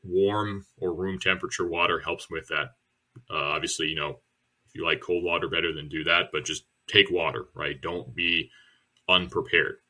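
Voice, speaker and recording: Young man, deep voice, bright tone, relaxed teaching delivery. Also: normal speed. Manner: relaxed, plain-spoken, many fillers. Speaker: church member teaching soul winning. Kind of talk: casual instructional video.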